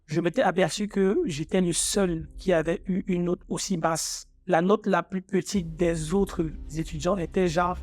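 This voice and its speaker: A man's voice: high-pitched, steady tone, a little slow, few pauses, soft background music. A man in his twenties from Africa, a native English speaker, talking informally to viewers in a personal student vlog. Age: 20s. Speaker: architecture student presenting his own channel.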